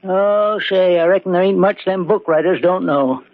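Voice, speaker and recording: A simple rural hired man in a high voice, folksy and puzzled. The man speaks in plain rustic fashion on a muffled old radio drama recording. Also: normal speed. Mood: puzzled curiosity. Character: simple, folksy, slow-witted male country handyman.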